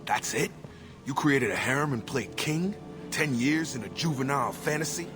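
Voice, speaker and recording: A man's voice: medium pitch, animated, moderate pace, natural voice. A young man playing a principled, bitter soldier son in a video game, blunt and accusing. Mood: angry. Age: young adult. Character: bitter, principled soldier son confronting his disgraced father. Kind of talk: dramatic video game voice acting. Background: cinematic background music.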